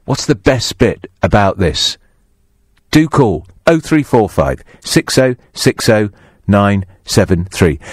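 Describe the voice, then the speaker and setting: A man hosts a radio phone-in from the studio, putting clear, direct questions to his listeners. He speaks at a middle pitch, and the studio sound is dry, with no room echo. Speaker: radio talk show host. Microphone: studio microphone.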